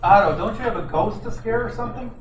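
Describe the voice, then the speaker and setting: A man's voice: sits high, fast talker, lightly acted. A man playing a wisecracking private detective who narrates his case in an old-time radio drama. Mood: sarcastic, irritated. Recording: roomy, distant mic, noisy amateur recording.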